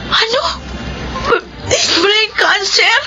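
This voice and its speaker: An actress playing a devoted, eager young student sister in a Filipino radio drama, earnest and expressive with a Filipino accent. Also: female voice, very high voice, very slow, full character voice, low-quality recording. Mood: shocked, worried. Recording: loud music over radio drama audio.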